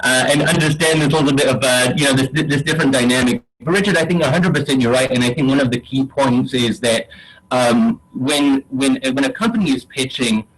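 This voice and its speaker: A man with a Geordie accent from Newcastle, speaking as a venture investor on a webinar panel, halting with many restarts and fillers. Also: mid-range voice, fairly bright tone, breathy edge, low-quality recording. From Newcastle, England.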